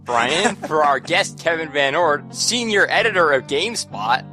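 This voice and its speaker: A man's voice: on the high side, expressive, measured pace, bright, forceful tone, soft background music. A man co-hosting a podcast, chatting casually and joking at his own expense. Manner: playful, self-mocking. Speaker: podcast co-host.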